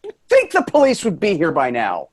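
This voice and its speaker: A man's voice: high-pitched, huge pitch swings, normal speed, heavily acted. A man in a staged play reading, playing an earnest, appalled office worker who pleads with those around him.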